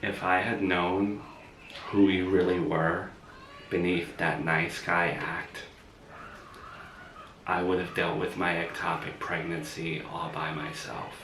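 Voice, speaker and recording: A man playing a hurt, guarded young woman who speaks quietly and bitterly in a comedic skit. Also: sits low, little pitch movement, slow, pauses often. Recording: roomy, distant mic, faint hiss.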